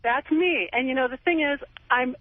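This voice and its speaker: A woman's voice: high voice, moderate pace, dry recording. American woman in her 40s phoning a radio show, chatty and emphatic, thin phone sound. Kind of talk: casual phone-in talk. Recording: tinny phone line on radio.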